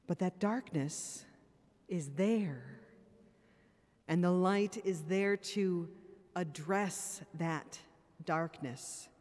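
A woman priest preaches at a pulpit microphone in a church, her words trailing into a long hall reverb. She talks in an earnest, plain-spoken way, drops in wry asides, and sounds a little tired. Her pace is slow and unhurried. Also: lowish voice, a little mellow. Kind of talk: sermon.

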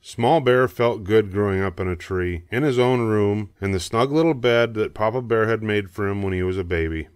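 Low-voiced older male storybook narrator. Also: moderate pace, hardly any pauses, clear, resonant tone, dry recording, studio quality. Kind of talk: scripted children's storybook narration.

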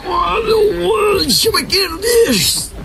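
A man's voice: very high-pitched, expressive, slow, vocal fry, heavy background noise, pitched above the performer's own voice, heavily acted. A man with a Sundanese accent voicing a villainous fighter crying out in panic in an oral folktale. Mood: panicked agony. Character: wailing villain fighters struck down in battle.

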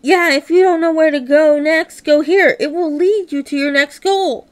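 A woman voicing a confident, brisk guide from the future in a comic read-along, explaining with assurance. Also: high voice, voice pushed up, close to an everyday voice. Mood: assured.

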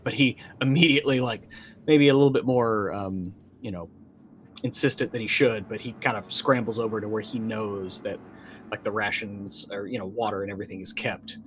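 Low-voiced man improvising a story, halting. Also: steady tone, a bit bright, slight background noise. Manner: halting, thinking aloud. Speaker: tabletop roleplaying player. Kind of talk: improvised in-character narration, casual. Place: indoor room, video call.

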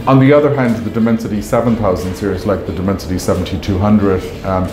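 A man's voice: on the low side, steady tone, quick pace. A man in his fifties being interviewed as a company executive, explaining things conversationally and often checking with "right?". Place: indoor meeting room at trade show. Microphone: clip-on lapel mic. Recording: roomy echo, music underneath.

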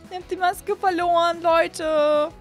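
Young gamer girl chatting over a game, really high voice, excitable, bit of music in the back. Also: a little slow. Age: young adult. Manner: animated, excitable, exclaiming. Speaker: gaming video host. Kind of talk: casual let's-play commentary. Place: small indoor streaming room. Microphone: close desk microphone on an arm. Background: faint music.

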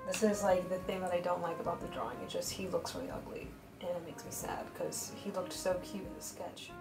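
A woman talks casually and bluntly over her drawing, her delivery flat and monotonous and full of fillers. Her voice sits a little low. It is recorded in a roomy space, with music playing underneath. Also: brisk. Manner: flat, monotonous speech pattern; blunt and rambling, many fillers. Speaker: art vlogger.

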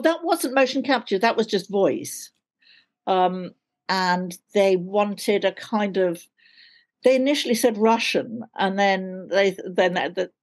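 An older woman with a London RP accent, chatting expressively in a casual interview over a video call from home. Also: medium pitch. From London, England. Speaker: actor, interview guest. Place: small indoor room at home.

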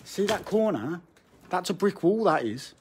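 A young man exploring a dark abandoned building, chatting casually to viewers on a phone held at arm's length, blunt and swearing now and then. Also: sits high, sing-song, a little slow, slight background noise. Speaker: urban explorer vlogger. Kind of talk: casual live-stream chat.

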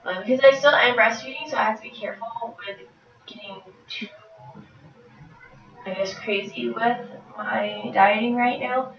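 A woman's voice: fairly high pitch, moderate pace, fairly bright tone, soft background music. A woman in her thirties casually chatting through meal prep tips in her home kitchen, rambling with lots of ums, getting over a cold, recorded by a camera across the room. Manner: rambling, many ums, moves around while talking. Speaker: fitness vlogger. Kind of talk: casual how-to chat. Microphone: far camera. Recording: roomy, distant, dull camera audio.

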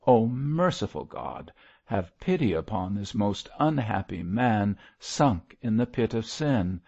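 A man's voice: lowish voice, big pitch swings, slow pace, vocal fry, a little mellow, muffled recording, full character voice. A man voicing a stern, pious old missionary in an audiobook, solemn and exhorting. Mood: fervent pleading. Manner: solemn, exhorting, declamatory prayer. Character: wary old male zealot missionary, stern, fervently pious. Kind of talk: audiobook character voice.

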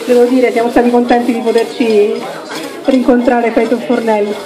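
Casting: high-voiced woman chef in her thirties, live cooking demo, crowd chatter behind. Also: flat delivery, normal speed. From Rome. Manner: explains each step while cooking. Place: restaurant dining room with audience.

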